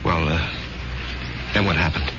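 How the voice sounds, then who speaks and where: Deep-voiced, hesitant American brooding husband. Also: male voice, background noise. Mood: uneasy, puzzled. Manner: halting, unsure. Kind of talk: 1970s radio drama acting. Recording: dull 1970s radio recording with music.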